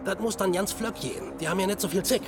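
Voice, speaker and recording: An actor playing a middle-aged ex-drummer hustler in a radio drama, chummy and bossy with colloquial talk. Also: male voice, high voice, quick pace, natural voice. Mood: bossy, brisk. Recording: loud music behind the voice.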